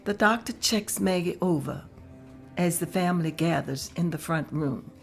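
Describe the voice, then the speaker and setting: A woman reads scene directions aloud at a table read with a somewhat low voice. Her reading is plain and steady, and faint music sits underneath. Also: moderate pace, gravelly. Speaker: narrator reading stage directions.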